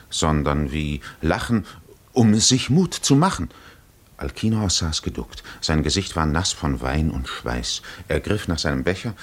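An actor as the grave narrator of a 1950s literary radio drama, telling an ancient epic in a measured, dramatic manner. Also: male voice, rumbling low, breathy edge, full character voice. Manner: measured, dramatic, hushed at times.

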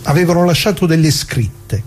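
A man's voice: a little high, animated, moderate pace, natural voice. An actor playing a plain-spoken old workingman who remembers, in a colloquial, reflective radio theatre monologue full of rhetorical questions. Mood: concerned, indignant. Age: older. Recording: clearly noisy recording with hum.

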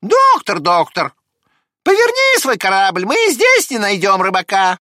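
A man voicing a small, doubting female animal in an expressive children's storybook reading. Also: sits high, unhurried, no echo, clean studio sound, voice pushed up, heavily acted. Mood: pitying, resigned. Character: mocking, gloomy female pig and duck, high-voiced animals.

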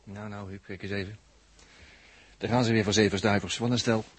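An actor playing a wry, chatty crewman in a 1960s radio drama, full of droll asides, with a husky voice. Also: on the low side, steady tone, fairly quick. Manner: droll asides, tutting exclamations, folksy hesitant phrasing. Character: wry, chatty male crewman watching and commenting.

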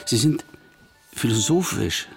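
An actor playing a self-assured, probing palm-reading analyst who speaks in deliberate, authoritative pronouncements in a radio play. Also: male voice, mid-range voice, expressive, gravelly, soft background music. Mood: clinical pronouncing.

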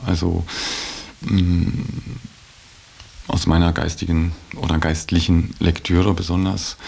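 A middle-aged man speaking reflectively about himself, halting and thoughtful, often adding small tag words. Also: low voice, vocal fry, darkish tone. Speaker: interviewee in a radio feature. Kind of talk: reflective personal account in conversation.

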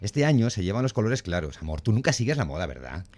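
A man's voice: on the low side, very animated, fast talker, darkish tone. A man playing a meek, vain husband in a radio drama, fussy, plaintive and coaxing. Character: vain, meek, submissive husband obsessed with his looks.

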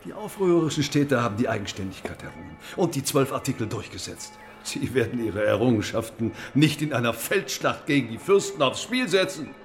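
An actor playing a sober, sceptical rebel comrade who objects firmly and emphatically in a historical audio drama. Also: male voice, medium pitch, big pitch swings, a little fast, hardly any pauses, faint music behind, close to an everyday voice.

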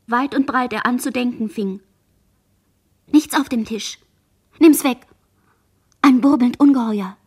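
High-voiced dazed girl in fragmented monologue. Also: unhurried, pauses often, husky. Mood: incantatory. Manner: fragmented, incantatory, musing. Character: dazed young girl, sole survivor, murmuring fractured inner monologue. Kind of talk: literary radio play monologue.

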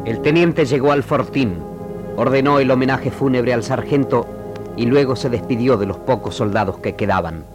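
Male narrator for a radio serial, mid-pitched, scripted delivery, with music clearly underneath. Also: normal speed.

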